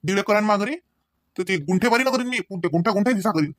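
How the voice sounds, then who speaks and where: A man's voice: high voice, lively intonation, quick pace, fairly bright tone, dry recording, clean studio sound. A man playing a proud, angry village husband in a comedy cartoon, scolding in a Varhadi Marathi accent. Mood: stern, defiant. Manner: scolding, firing off rhetorical questions. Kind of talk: amateur comedy cartoon dialogue.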